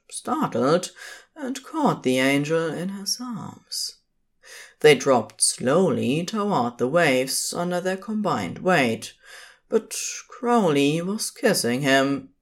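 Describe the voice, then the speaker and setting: A narrator reading scripted fiction for an audio drama. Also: medium pitch.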